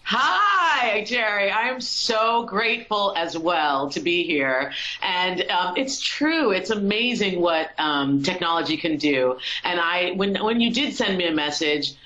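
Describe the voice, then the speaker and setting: A woman from Colorado, a musician, talking as an interview guest over a video call in a warm, reflective way, with frequent ums. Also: lowish voice, animated, a little slow, reverberant, dull audio. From Colorado, USA. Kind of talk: casual interview conversation.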